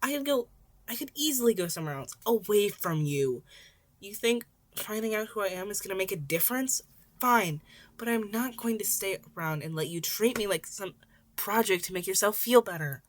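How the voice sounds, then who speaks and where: Teen boy vigilante, female reader: nervy, defiant, sarcastic, higher register, audiobook character work. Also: lively intonation, normal speed, close to an everyday voice. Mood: angry, defiant. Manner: rambling, indignant, sarcastic. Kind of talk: audiobook-style fan fiction character acting.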